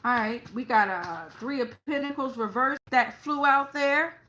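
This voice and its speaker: A woman with a high, bright, forward voice hosts a tarot reading for online viewers. She speaks off the cuff in colloquial language, often checking in with short tags and swearing freely. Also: a little slow, slight background noise. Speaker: host, tarot reader.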